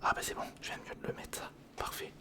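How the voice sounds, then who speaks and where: A man whispering breathily in a casual ASMR commentary, murmuring step by step to the viewer as if to a friend. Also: brisk. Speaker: ASMR video creator, host. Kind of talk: ASMR, casual running commentary while building a model. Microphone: close recorder microphone.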